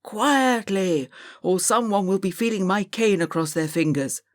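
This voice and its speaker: A woman's voice: mid-range voice, measured pace, no room sound, clean studio sound, pitched above the performer's own voice, close to an everyday voice. A woman reading an audiobook, voicing a stern, impatient elderly male schoolmaster who barks orders at his class. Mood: shouting. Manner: barking, scolding commands.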